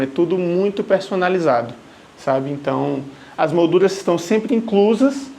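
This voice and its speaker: A man from Salvador in Brazil speaks straight to the camera, answering questions from viewers. His voice sits a little higher than most men's, and his tone is friendly and reassuring. He keeps checking in with the listener as he explains. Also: darkish tone. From Salvador, Bahia, Brazil. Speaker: live wedding painter.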